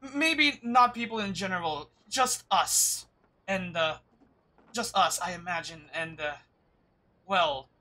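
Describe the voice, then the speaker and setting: A man streaming a game, reacting casually and musing aloud in a hesitant, halting way. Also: sits high, bright, forceful tone.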